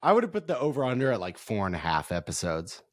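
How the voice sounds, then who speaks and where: A young man co-hosting a casual sports podcast. His voice is hoarse and raspy, and he talks in a laid-back, self-deprecating way. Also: on the low side, big pitch swings, fast talker. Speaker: podcast co-host.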